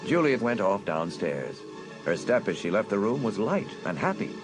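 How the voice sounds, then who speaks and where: A man narrating a dramatised audiobook in an expressive storytelling manner. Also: fairly low pitch, normal speed, over music, poor audio.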